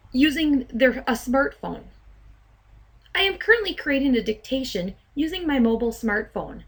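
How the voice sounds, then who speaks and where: High-voiced woman presenting a software demo. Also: slightly rough. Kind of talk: step-by-step software demo narration.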